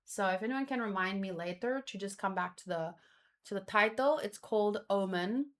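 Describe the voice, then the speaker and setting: A woman hosting a read-along in a casual live commentary style, with expressive, exclamatory reactions. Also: medium pitch, normal speed. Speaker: host of a manga read-along stream.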